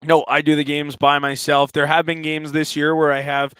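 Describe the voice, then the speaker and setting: Male sports announcer as guest, clear smooth voice, very even pitch. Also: moderate pace, dry recording, clean studio sound. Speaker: hockey play-by-play announcer, interview guest. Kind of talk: interview talk.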